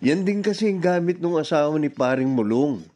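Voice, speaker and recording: A man's voice: a little high, animated, fairly quick, mellow, close to an everyday voice. A man with a Filipino accent playing a selfish, scheming, defiant middle-aged father in a radio drama. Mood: smug, affable.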